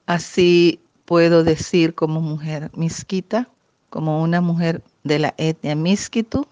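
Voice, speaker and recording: A Miskito-speaking woman from Nicaragua, answering interview questions in an earnest, deliberate way. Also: sits low, steady tone, warm tone, no echo. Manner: earnest, deliberate, frequent "¿verdad?" tag. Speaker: guest. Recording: muffled lo-fi audio.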